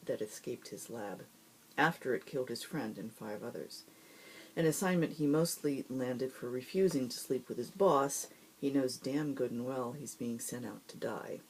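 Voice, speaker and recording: A host reading fiction aloud and introducing it informally, with expressive storyteller delivery. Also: medium pitch, normal speed, slight background noise, rough recording.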